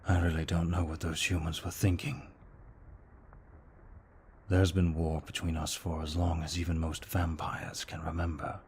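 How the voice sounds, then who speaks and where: A man playing a courteous, protective young vampire aristocrat in an ASMR audio roleplay, speaking formally in a hushed, measured way. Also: sits low, completely flat delivery, slight background noise. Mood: regretful, earnest. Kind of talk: intimate ASMR roleplay acting.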